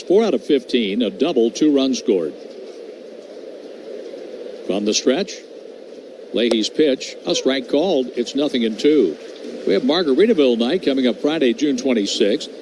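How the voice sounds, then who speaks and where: A man doing measured, descriptive live play-by-play of a baseball game as a radio announcer. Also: mid-range voice, expressive. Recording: clear background noise at ballpark.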